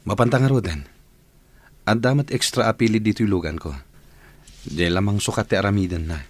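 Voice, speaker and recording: A man's voice: fairly low pitch, fairly quick, dry recording, close to an everyday voice. A man playing an eager, genial engineer in a radio comedy drama. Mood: businesslike. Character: eager, lovestruck male engineer, genial boss, marriage-minded. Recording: faint vehicle noise behind.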